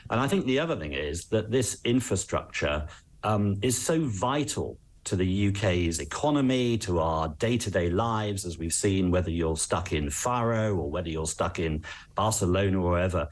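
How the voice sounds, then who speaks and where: A man with an English accent and a low-pitched voice gives expert commentary in a news interview. He is articulate and earnest, setting out his points in a measured way. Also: lively intonation. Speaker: travel expert, interview guest.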